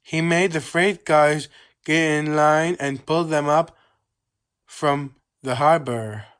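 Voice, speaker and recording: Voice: medium pitch, fairly even intonation, slow, a bit bright, smooth. A narrator reading a children's storybook aloud in a scripted read-along style.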